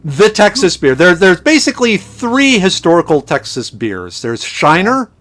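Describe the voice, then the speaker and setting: Middle-aged male guest from Minnesota, higher voice, rambling and chatty on a remote call. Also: sing-song, moderate pace, a bit bright. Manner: chatty storyteller with frequent restarts. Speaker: podcast guest, chemist and foraging instructor. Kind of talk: casual podcast conversation.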